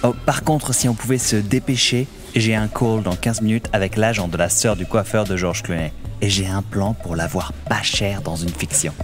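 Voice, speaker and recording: A self-important casting director acted by a man in a comedy audio drama. His voice is on the low side and brisk in manner, with faint music behind it. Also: steady tone, natural voice. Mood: hurried, dismissive. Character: busy, name-dropping male casting director.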